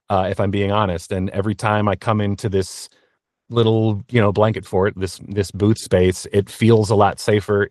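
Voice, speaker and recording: Man, lowish voice, reflective and halting, self-deprecating, candid interview style, very dry close booth recording. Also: no echo. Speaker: guest voice actor being interviewed. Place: small blanket-fort recording booth.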